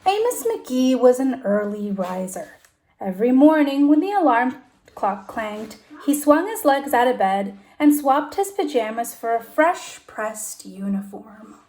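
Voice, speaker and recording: A woman teacher reading a children's storybook aloud in an expressive, deliberate way with emphasized phrases. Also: fairly high pitch.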